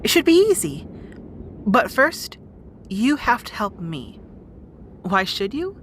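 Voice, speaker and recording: A woman's voice: a little high, big pitch swings, slow, a little hiss or hum, full character voice. A woman playing a bossy, guarded young rat princess in an audio roleplay drama, with exasperated, wry asides. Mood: bargaining, wry.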